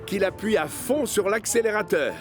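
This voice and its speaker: A man's voice: high voice, normal speed. Male children's story narrator over music. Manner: storyteller, expressive.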